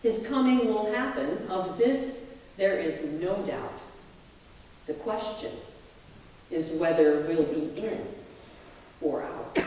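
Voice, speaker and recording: A woman pastor reading a poem aloud in a deliberate, measured way with dramatic pauses, recorded in a large reverberant hall. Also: fairly low pitch, warm tone, a little hiss or hum. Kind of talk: poetry reading from the pulpit. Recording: very echoey hall, distant microphone.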